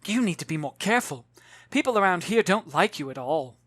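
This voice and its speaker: A man's voice: high-pitched, very animated, brisk, fairly bright tone, no room sound, heavily acted. A man voicing a clever, kind young girl in an audiobook, speaking in a wry, familiar way. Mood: kindly. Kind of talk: audiobook character voice.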